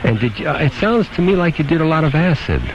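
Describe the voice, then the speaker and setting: A man's voice: on the high side, sing-song, fast talker. A man hosting a radio call-in show, questioning and advising callers in a blunt, probing, opinionated way. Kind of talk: probing phone-in advice conversation. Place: radio studio. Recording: noisy, dull lo-fi AM radio aircheck.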